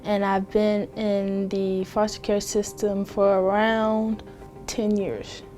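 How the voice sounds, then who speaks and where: A woman's voice: mid-range voice, flat delivery, slow, mellow, faint music behind. A woman in her early twenties in a documentary interview, talking in a loose, rambling way with many fillers. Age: early 20s. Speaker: former foster youth interviewee.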